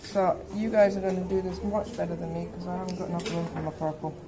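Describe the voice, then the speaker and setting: A woman's voice: on the low side, level tone, quick pace, heavy background noise. A woman teaching a hands-on art class, thinking aloud step by step and putting questions to her learners. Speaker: art teacher demonstrating colour mixing. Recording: loud chatter of people behind.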